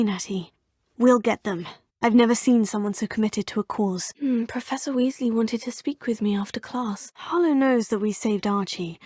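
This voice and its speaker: An earnest, breathy witch student. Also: a little high, a little mellow, no room sound. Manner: courteous and composed, often saying sir. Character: polite, curious teenage witch student, steady and earnest. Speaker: game player character. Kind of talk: video game voice lines. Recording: dry studio recording.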